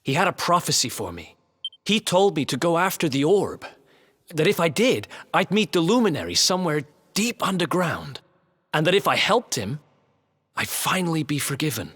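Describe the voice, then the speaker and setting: A man's voice: fairly high pitch, very animated, normal speed, fairly bright tone, husky, exaggerated voice. A man playing an earnest, guilt-laden young adventurer in a video game, speaking in a confiding way. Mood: earnest, sombre.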